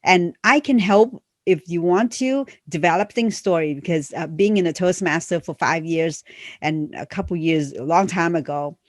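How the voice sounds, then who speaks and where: A woman's voice: lowish voice, moderate pace, no echo, studio quality. A woman coach and memoir author talking casually and earnestly, with non-native English phrasing, many fillers, and a first language that is a Chinese dialect. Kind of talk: casual conversational promotion.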